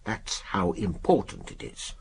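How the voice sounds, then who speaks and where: An English actor in a radio drama playing a brusque older spy chief, clipped, gruff and commanding. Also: male voice, low-pitched, wildly swooping pitch, unhurried, full character voice. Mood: brisk, confidential.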